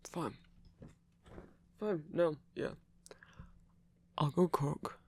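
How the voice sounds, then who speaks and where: A man's voice: on the high side, extremely slow, a little mellow, breathy edge, exaggerated voice. A man voicing a grumpy but protective werewolf in an intimate ASMR romance roleplay, grumbling and scolding with care. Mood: grudging, tired. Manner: grumbling, scolding yet caring.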